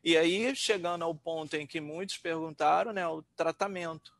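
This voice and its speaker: A Brazilian man, a researcher and teacher, talking informally and reflectively in a webinar, halting as he thinks aloud. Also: a little high, fairly even intonation, gravelly, a bit bright, no room sound. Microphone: online webinar call. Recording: noisy webinar audio with hum.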